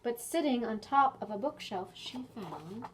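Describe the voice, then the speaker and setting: Woman storytime host, slightly high voice, expressive delivery for preschoolers, asks the listeners questions. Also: a little slow, a little hiss or hum. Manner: expressive, addresses young children with questions. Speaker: children's librarian storytime host. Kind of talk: animated picture-book reading and chat for preschoolers.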